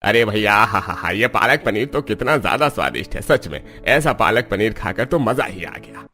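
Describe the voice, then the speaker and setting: A man's voice: lowish voice, expressive, normal speed, sharp tone. Man playing a hearty, food-loving wedding guest. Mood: delighted. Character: jovial greedy wedding guest relishing food.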